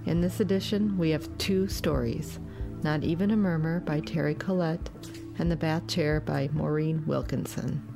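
A woman hosting a podcast, reading scripted announcements and introductions. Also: deep voice. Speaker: podcast host. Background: music.

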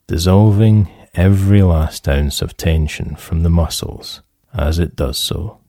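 Slow, deep-voiced man guiding relaxation. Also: dry recording, clean studio sound. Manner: calm, soothing, measured instruction with pauses. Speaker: therapist leading guided relaxation. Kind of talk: guided relaxation meditation.

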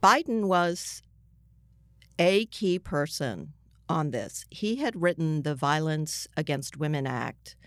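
An older American woman, a journalist being interviewed, explaining things step by step with asides in a measured way. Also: sits low, expressive, no room sound, clean studio sound.

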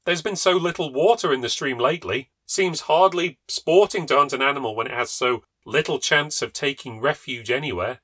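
A man voicing an agitated, fussy husband who keeps poultry and a flower garden, speaking raised and excitable. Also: mid-range voice, moderate pace, hardly any pauses, no echo, pitched above the performer's own voice, natural voice. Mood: annoyed.

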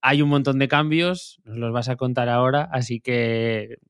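Smooth-voiced male podcast host. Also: medium pitch, moderate pace. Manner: relaxed, many hesitations. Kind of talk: ad read and casual interview.

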